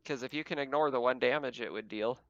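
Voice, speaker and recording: A man's voice: mid-range voice, fast, a bit bright, no room sound. A man speaking casually as game master, narrating and refereeing a tabletop roleplaying game, swearing freely, on a slightly muffled microphone.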